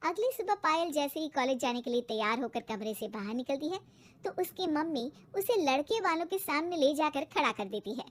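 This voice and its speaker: High-pitched woman narrating a story. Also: animated, fairly quick. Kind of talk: scripted cartoon story narration.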